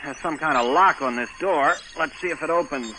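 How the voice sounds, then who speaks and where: A man's voice: a little high, brisk, heavy background noise, close to an everyday voice. A man with an American accent playing a confident, easygoing young rocket captain in a 1950s radio drama. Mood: brisk, wary. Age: young adult. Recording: vintage radio, narrow band, music behind.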